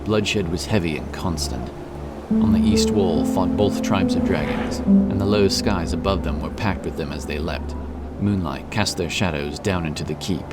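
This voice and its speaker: A man narrates a fantasy audiobook in a dramatic, scripted style. His voice is very low, and loud epic music plays beneath it. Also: normal speed.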